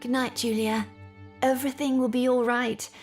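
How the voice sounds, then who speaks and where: An actress playing a troubled young gentlewoman in an audio drama, telling her story in a measured, formal, earnest way. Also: on the high side, level tone, faint music behind, close to an everyday voice. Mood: gentle, reassuring.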